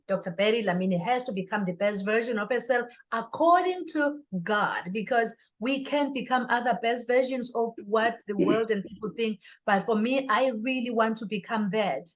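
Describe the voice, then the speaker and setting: A woman's voice: a little high, normal speed. A woman speaking as an interview guest, a life coach and author, in an earnest, motivational way. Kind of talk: interview answer.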